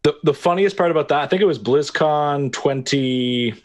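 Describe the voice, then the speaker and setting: A man's voice: fairly high pitch, normal speed, dry recording, clean studio sound. A man with a Canadian Ottawa accent, a guest chatting casually and joking with frequent restarts. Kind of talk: casual podcast chat.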